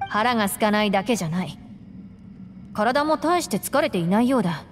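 An actress voicing a calm, composed young female aide in a game, speaking in a measured, serious way. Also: medium pitch, close to an everyday voice. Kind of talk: game voice acting. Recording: roomy game audio with music.

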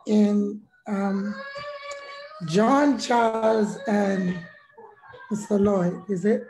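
Slow-talking hoarse woman on a roomy call. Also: mid-range voice, steady tone, warm tone. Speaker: participant giving a testimonial on a group call. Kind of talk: casual spoken testimonial. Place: online video call. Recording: roomy echo, faint noise, online call.

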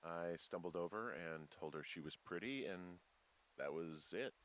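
An actor playing a dry, self-deprecating American fire lookout in a video game, talking in a deadpan, wry way. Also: male voice, sits low, unhurried, slight background noise. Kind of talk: naturalistic game voice acting.

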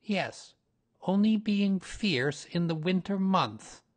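Pooh, a man doing a slow, earnest little bear. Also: high-pitched, expressive, voice pushed up. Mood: puzzled. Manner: hesitant, earnest, slow-spoken. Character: gentle, simple-minded male bear. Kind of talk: storybook reading.

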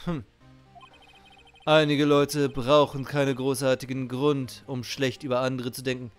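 A man voicing a stern, formal young male prosecutor from a video game, speaking in a measured, serious, clipped way. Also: medium pitch, little pitch movement, faint music behind, lightly acted. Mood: brooding, resigned.

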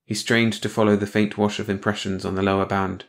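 A man's voice: lowish voice, little pitch movement, fairly quick. A man reading a scripted science fiction story as an audiobook narrator, in bedtime read-along style.

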